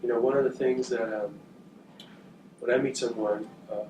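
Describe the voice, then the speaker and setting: Man, halting talk, frequent fillers, distant mic, echoey room. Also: mid-range voice, fairly even intonation, dull audio. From northern New Jersey, USA. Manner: thoughtful, halting, with frequent fillers and repeated words. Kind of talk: talk to journalism students. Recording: echoey, distant, with background noise.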